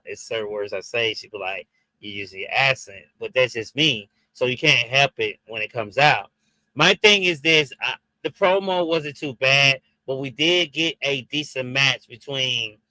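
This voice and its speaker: Slow, bright-voiced man with Caribbean accent. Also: mid-range voice, no echo, low-quality recording. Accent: Jamaican and Haitian. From Jamaican and Haitian background. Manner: hesitant, many fillers and restarts, talks to viewers. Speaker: wrestling review show host. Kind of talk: casual streamed commentary.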